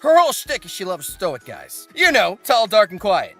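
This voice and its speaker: Animated, bright-voiced comic anime narrator. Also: mid-range voice, normal speed, soft background music. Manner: theatrical, exaggerated mock outrage, playful asides. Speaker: host of anime video essay. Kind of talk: comedic scripted narration, anime commentary.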